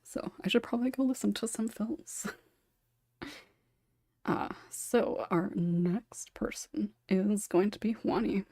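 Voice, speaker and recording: A woman's voice: medium pitch, slow pace, mellow, no echo, clean studio sound. A woman hosting a podcast in a casual, chatty way, often giggling as she talks. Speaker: podcast host.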